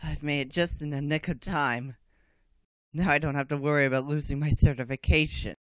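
A man's voice: a little high, steady tone, fairly quick, dry recording, clean studio sound, natural voice. A man in an anime fan dub playing a coldly self-justifying alchemist, smug and taunting. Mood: quietly pleased.

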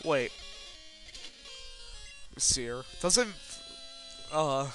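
A man's voice: mid-range voice, expressive, very slow, a bit bright, rough recording. A man giving casual, rambling video game commentary in a self-deprecating way, with casual swearing. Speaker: let's player. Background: video game music.

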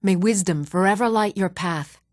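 An actress playing an earnest, dutiful woman of a fantasy realm in a video game, speaking in a measured, composed and formal way. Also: medium pitch, darkish tone, dry recording, studio quality, close to an everyday voice.

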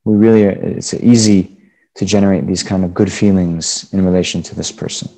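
A man with a low, soft-edged, relaxed voice teaches a guided meditation. He gives gentle instructions off the cuff, in halting phrases, as a Buddhist teacher would to students. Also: creaky, poor audio.

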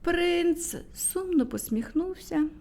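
A woman's voice: very high-pitched, lively intonation, slow, darkish tone. A woman telling a fairy tale to small children in a playful storyteller manner, dropping to a whisper at moments.

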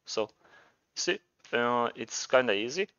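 A man's voice: medium pitch, lively intonation, slow, a bit bright, clear, resonant tone, no echo, lo-fi sound. A man with a Croatian accent presenting a programming tutorial, talking informally and thinking aloud as he demonstrates. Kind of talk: casual screencast tutorial narration.

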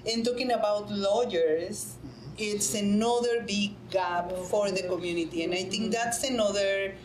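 A woman's voice: mid-range voice, unhurried. A woman speaking as a panelist in a conference room, earnest and emphatic, repeating phrases to stress a point. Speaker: panelist, nonprofit director. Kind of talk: panel discussion answer. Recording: roomy conference room with faint hum.

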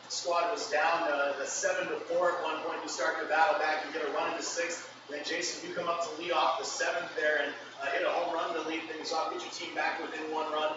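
A man hosting a post-game press conference, asking questions in a smooth announcer delivery. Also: fairly high pitch, level tone, a little fast, hardly any pauses, lo-fi sound. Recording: echoey room, far off-mic, noisy.

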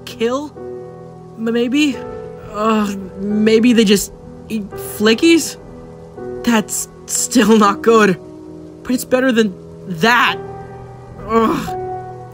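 A man voicing a troubled, edgy young hedgehog hero with halting, agitated delivery in a cartoon comic dub. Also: high voice. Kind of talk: fan comic dub acting.

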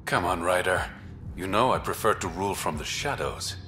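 An actor playing a suave, wry smuggler and secret crime boss in a game, teasing and self-assured. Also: male voice, deep voice, animated, moderate pace, sharp tone, slight background noise. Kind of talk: cinematic game dialogue acting.

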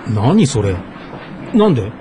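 Flustered grumbly office guy, radio drama, slow but really up and down, noisy recording. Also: medium pitch, big pitch swings. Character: flustered, grumbling adult male office worker, once class leader. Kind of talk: naturalistic radio drama acting.

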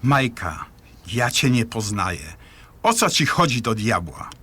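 An evasive, defensive man in an audio drama. His mid-pitched voice is bright and swings widely as he protests with indignation. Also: big pitch swings, unhurried, slightly rough, heavily acted. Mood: exasperated, pleading.

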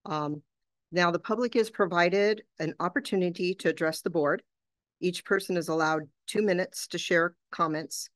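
A woman's voice: on the low side, normal speed, dry recording. Woman chairing a board meeting. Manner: procedural, with frequent fillers. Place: large boardroom.

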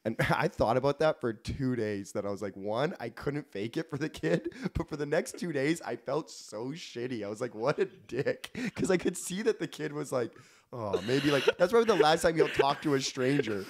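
A Canadian man talks in a loose, conversational way as a podcast guest. His pitch is lively and varied, moving around as he slips into quoted dialogue. He rambles and makes fun of himself like a comedian mid-story. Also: moderate pace. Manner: rambling, self-deprecating, acts out dialogue. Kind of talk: casual podcast chat with comic storytelling.

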